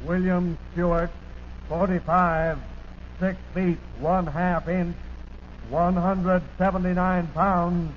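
An American man reading a police bulletin as a 1940s radio announcer, in deliberate staccato phrases with details repeated, on an old broadcast recording. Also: high-pitched, level tone, dull audio, slight background noise.

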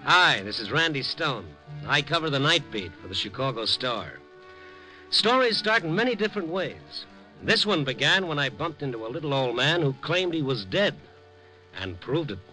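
An actor playing a wry, skeptical Chicago newspaper reporter in a 1950s radio drama, with colloquial, world-weary phrasing. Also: male voice, fairly high pitch, very animated, normal speed, bright, forceful tone, faint music behind, exaggerated voice, poor audio. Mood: wry, atmospheric. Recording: old 1950s radio recording.

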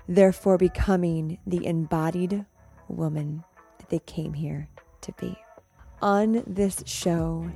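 A woman hosting a podcast, reading her show intro and then interviewing a guest in a slow, drawn-out, soothing way. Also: fairly low pitch, creaky, mellow. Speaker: podcast host.

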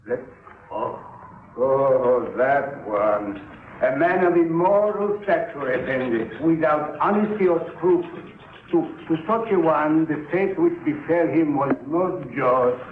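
An actor in a 1950s radio drama playing an ornately polite, regretful smuggler who hesitates and repeats himself. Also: male voice, on the high side, animated, slow pace. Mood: smoothly apologetic. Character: courtly, regretful foreign smuggler and gambler doting on his birds. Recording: roomy, phone-like, faintly noisy 1950s radio recording.

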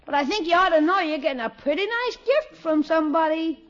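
A man's voice: very high-pitched, expressive, a little fast, a bit bright. A man playing an eager, scheming young boy who talks like a brash store salesman in a 1950s radio sitcom. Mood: cheeky, eager. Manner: brash, wheedling salesman patter. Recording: muffled, noisy old radio recording.

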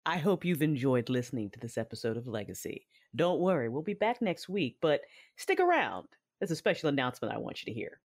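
A woman's voice: very deep voice, sing-song, fairly quick, a little mellow. A woman hosting an audio drama, reading scripted closing announcements and credits. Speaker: host and producer.

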